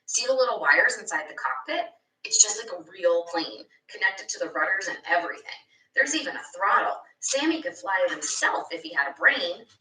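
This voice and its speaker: A woman's voice: medium pitch, expressive, normal speed, sharp tone, slight background noise. A woman voicing a cocky, impatient boy inventor in a storybook, boastful and scoffing toward his brother. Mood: confident, bossy. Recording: echoey room, distant microphone.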